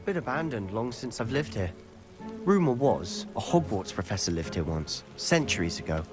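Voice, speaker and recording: An actor with an English accent playing an earnest, determined teenage schoolboy wizard in a video game, speaking in an articulate, dramatic way. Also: male voice, mid-range voice, very animated, normal speed, over music, lightly acted. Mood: thoughtful, determined. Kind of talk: scripted video game dialogue.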